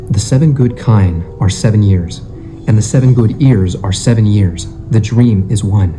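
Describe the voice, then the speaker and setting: Solemn young prophet played by a man, low-voiced. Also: natural voice. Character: young Hebrew dream interpreter, solemn and assured. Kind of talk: dramatised scripture reading in King James English.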